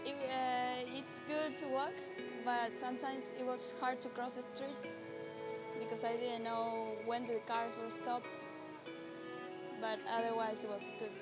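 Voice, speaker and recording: Young Argentinian backpacker, very high voice, slow careful street-interview answers. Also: female voice, little pitch movement, loud music behind the voice. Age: young adult. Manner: simple careful wording, frequent uh. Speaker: traveller, interviewee. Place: outdoors at a train station.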